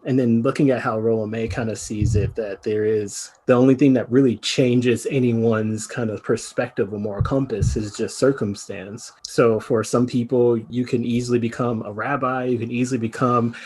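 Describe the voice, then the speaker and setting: A man's voice: medium pitch, steady tone, normal speed, few pauses, darkish tone, slightly rough. A man, the playwright on the panel, talking reflectively and often hedging with "kind of".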